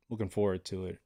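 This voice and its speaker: A man's voice: on the low side, darkish tone, breathy edge. A man casually chatting with his live viewers from home, laid-back and rambling with lots of filler words. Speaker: livestreamer.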